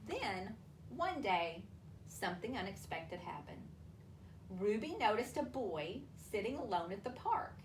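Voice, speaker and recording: A woman reading a children's storybook aloud to families, with expressive, deliberate delivery and drawn-out emphasis. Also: medium pitch. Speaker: school superintendent reading a picture book aloud to families. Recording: roomy, distant mic with faint noise.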